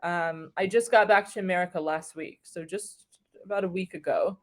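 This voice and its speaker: A woman's voice: lowish voice, moderate pace. A woman from New York City, chatting as an online English tutor in a friendly, easygoing way over a video call. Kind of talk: casual conversation.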